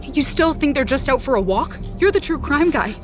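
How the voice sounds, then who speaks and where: A woman acting in an audio drama as an anxious, sharp-tongued young female friend. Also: high-pitched, expressive, normal speed. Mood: alarmed. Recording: music under dramatic dialogue.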